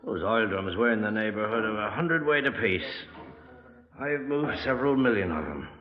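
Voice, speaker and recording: An actor playing an easygoing middle-aged ex-navy mechanic with a British accent, casual and matter-of-fact, in a 1950s radio drama. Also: male voice, fairly low pitch, animated, normal speed, lightly acted. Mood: weary. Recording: tinny, echoey old 1950s radio recording.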